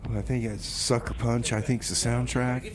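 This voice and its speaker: A man's voice: medium pitch, level tone, brisk. A man hosting a music radio show in an informal, conversational way as its DJ. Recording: clear background noise.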